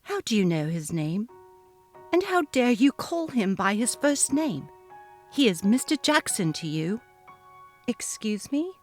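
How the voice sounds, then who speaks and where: Give the boss a slow, deliberate haughtiness as a woman narrator plays her. She is a cold, cutting, bullying female manager in a dramatic audio story, looking down on everyone beneath her. Music plays behind her. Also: medium pitch, very animated, a little mellow. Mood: indignant, haughty. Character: snobby, imperious, narcissistic female office manager, cold and bullying. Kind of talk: dramatic reading of a text-message story.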